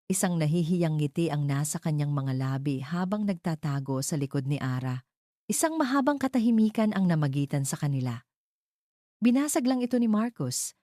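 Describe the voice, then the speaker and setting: A woman storyteller with a low, mellow voice reads a dramatic love story. She moves in measured, short phrases, and the sound is dry and close. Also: big pitch swings, no room sound. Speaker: story narrator. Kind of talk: dramatic scripted storytelling narration.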